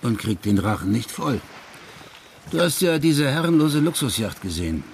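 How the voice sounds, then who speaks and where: Wry ex-detective, faint noise behind. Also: male voice, mid-range voice, big pitch swings, normal speed, vocal fry. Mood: dry irony. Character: Dutch ex-police detective, wry laid-back man in exile. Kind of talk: radio crime drama dialogue.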